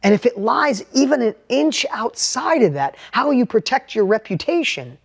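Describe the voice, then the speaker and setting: A middle-aged man with a New York accent and a high-pitched voice, giving an animated, plainspoken motivational talk about sales. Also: normal speed, creaky, breathy edge. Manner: animated, plainspoken, repeats and restarts words. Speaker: entrepreneur and sales educator. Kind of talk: motivational talk with personal story.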